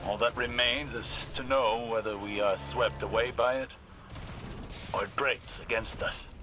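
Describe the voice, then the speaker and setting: A man's voice: medium pitch, steady tone, a little slow, over music, lightly acted. A man playing a stoic, weary older scholar-warrior in a video game, resolute and grave in his delivery. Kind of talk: dramatic game dialogue.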